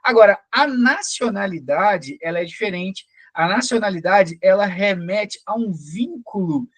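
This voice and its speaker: A man's voice: high-pitched, animated, measured pace, a bit bright, no echo. A man from Belo Horizonte with a Minas Gerais Brazilian accent, giving an explanatory exam-prep lecture in a didactic way with frequent check-in questions. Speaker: teacher, police or military sergeant.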